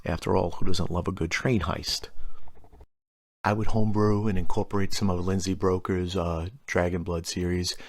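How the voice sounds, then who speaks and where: A man's voice: low-pitched, flat delivery, moderate pace. A middle-aged man hosting a tabletop gaming explainer, reading prepared lore in a wry, informal way with casual asides. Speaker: host of a tabletop gaming channel.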